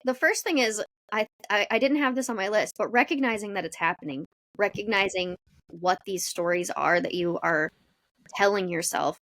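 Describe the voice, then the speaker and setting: A woman's voice: mid-range voice, moderate pace, fairly bright tone. A woman co-hosting a podcast, giving conversational advice in an encouraging, explanatory way. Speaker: podcast co-host.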